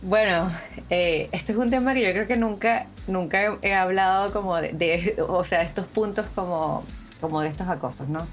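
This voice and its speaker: A woman's voice: fairly low pitch, moderate pace. A young woman from Venezuela with a Venezuelan accent, speaking as a guest in a casual panel discussion, with frequent restarts and fillers. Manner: hesitant, many restarts and fillers.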